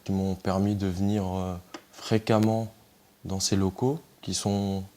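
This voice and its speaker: A man's voice: deep voice, flat delivery, unhurried, mellow. A man giving a personal testimony in a reflective way, with frequent fillers. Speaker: interviewee.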